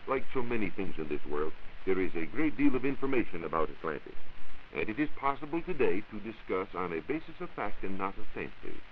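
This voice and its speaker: An American man giving a formal, measured, oratorical scripted science talk as a 1940s radio reporter. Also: on the low side, big pitch swings. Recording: muffled, hissy 1940s radio recording.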